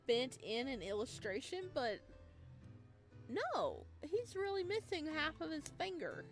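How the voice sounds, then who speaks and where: A woman reads through a visual novel and breaks off into shocked, animated reactions. Her pitch swings widely, and soft game music sits quietly in the background. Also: a little slow. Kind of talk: reading narration and reacting.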